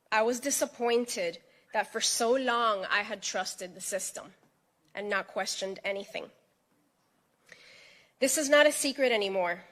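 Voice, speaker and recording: A woman's voice: fairly high pitch, fairly bright tone. Earnest woman giving a prepared speech. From United States (Miami). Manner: earnest, personal and emphatic. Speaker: speaker at a press event.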